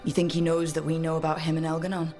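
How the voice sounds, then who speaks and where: An actress playing a resolute, wry adventurer hero in a video game, speaking in a direct, conversational way. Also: female voice, low voice, completely flat delivery, fast, mellow, close to an everyday voice. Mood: wry resolve. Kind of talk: video game cutscene acting. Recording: music behind the voice.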